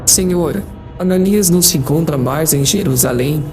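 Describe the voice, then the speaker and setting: Man acting a pleading, high-voiced young prisoner. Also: moderate pace, darkish tone, natural voice. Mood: mournful. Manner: meek, mournful, pleading. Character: humble, miserable young male prisoner, fearful and pleading. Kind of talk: dramatized audiobook acting in formal language. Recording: music behind.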